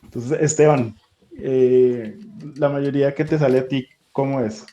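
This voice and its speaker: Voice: medium pitch, fairly even intonation, unhurried, warm tone, muffled recording, a little hiss or hum. A host with a Bogotá accent moderating a class discussion over a video call, passing questions between speakers with joking asides. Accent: Bogotá Spanish.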